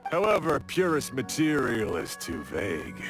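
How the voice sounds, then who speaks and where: An actor playing a proud, exacting blacksmith in a video game, speaking in a measured, wry, matter-of-fact way. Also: male voice, mid-range voice, huge pitch swings. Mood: skeptical. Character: proud, exacting male blacksmith, gruff but obliging craftsman. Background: game music.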